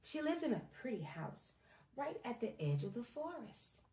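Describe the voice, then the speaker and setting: A woman reading a storybook aloud at bedtime, gentle and expressive, in a measured way. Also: a little high, slightly rough, no echo.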